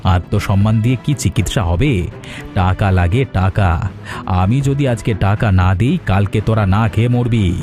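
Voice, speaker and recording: A man's voice: on the low side, measured pace, natural voice. A man playing a wealthy, scheming villager in a moral-story cartoon, haughty, needling and condescending. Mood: threatening. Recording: music behind the voice.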